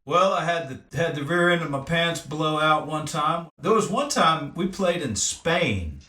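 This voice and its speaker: A man's voice: on the high side, animated, measured pace, pushed and bright. A man speaking as a musician guest in a casual interview chat. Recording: roomy echo, faint crowd behind.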